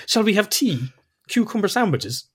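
A man's voice: sits high, sing-song, moderate pace, fairly bright tone, husky. Irish guy cohosting a podcast, chatty, laughs a lot, swears a bit. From Ireland. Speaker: podcast co-host. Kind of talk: casual podcast chat.

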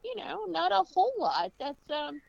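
A woman hosts an interview, asking chatty questions. Her pitch moves a lot as she talks. The sound is close and dry. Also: wildly swooping pitch, normal speed, gravelly, dry recording, lo-fi sound. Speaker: interview host. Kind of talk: interview hosting.

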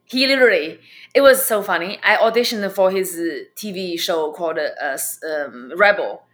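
A young adult woman from China with a Chinese accent, a comedian telling her story as an interview guest, halting with many fillers and restarts. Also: fairly low pitch, animated, fairly bright tone.

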